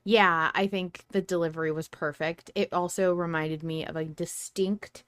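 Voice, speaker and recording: A woman's voice: fairly low pitch, fairly even intonation, normal speed, clean tone, no echo. A woman hosting a podcast, chatting casually as a fan. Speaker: podcast host.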